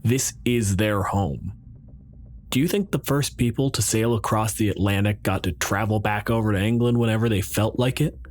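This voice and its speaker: A man playing a measured, bureaucratic colonial president in roleplay, speaking formally with deliberate, pointed pauses. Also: lowish voice, a little mellow, faint music behind, close to an everyday voice. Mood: firm, unyielding. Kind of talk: improvised roleplay dialogue.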